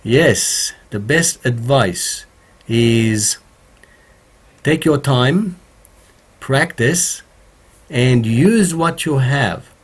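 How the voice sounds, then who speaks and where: A man with a slightly croaky voice hosting a casual live stream from his home studio, talking slowly with many pauses and fillers. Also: medium pitch, expressive. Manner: slow and halting, many fillers. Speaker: home studio streamer answering viewer questions.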